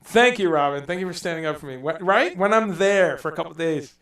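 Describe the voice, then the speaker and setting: A man's voice: on the high side, expressive, fairly quick, fairly bright tone. A man hosting a casual livestream, rambling, reading out viewer comments and swearing now and then. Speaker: livestream host. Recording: echoey room, voice a bit far from mic.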